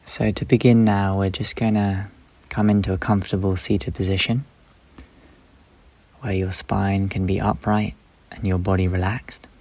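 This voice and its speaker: A man leading a guided meditation, speaking unhurriedly in short instructive phrases with long pauses between them. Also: deep voice, flat delivery, a little mellow.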